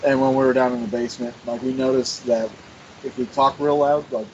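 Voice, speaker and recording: A man's voice: medium pitch, steady tone, fairly quick, darkish tone. A man who is a guest on a paranormal talk radio show, talking hesitantly with many fillers and restarts. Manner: hesitant, full of "like" and "uh". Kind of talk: casual talk radio conversation. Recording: dull lo-fi sound with faint hiss.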